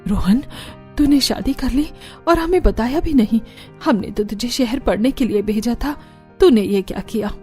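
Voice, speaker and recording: A woman voicing a gentle, concerned middle-aged village mother-in-law in a cartoon story, in a fretful, motherly manner. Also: a little high, moderate pace, soft background music, close to an everyday voice. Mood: startled, reproachful.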